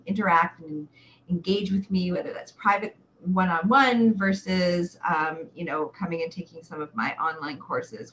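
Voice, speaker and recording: A woman in her forties hosting a chatty live webcast, talking to viewers in an exuberant, theatrical way with drawn-out emphatic words. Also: lowish voice, a bit bright, a little hiss or hum, poor audio. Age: 40s. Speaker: livestream show host and sexual wellness coach.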